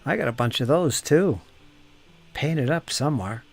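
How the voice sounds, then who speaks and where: A man's voice: mid-range voice, expressive, a little slow, gravelly, soft background music. A man hosting a casual live stream while painting miniatures, chatting with viewers by name and muttering to himself as he works. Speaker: hobby streamer.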